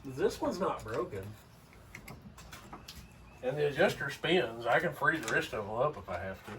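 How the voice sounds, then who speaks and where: A man's voice: mid-range voice, sing-song, normal speed, fairly bright tone. A man, chatting dryly while working on a car at a salvage yard. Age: 30s. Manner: dry, joking, matter-of-fact. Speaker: car hobbyist. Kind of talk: casual chat while working. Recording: distant voice, clear background noise.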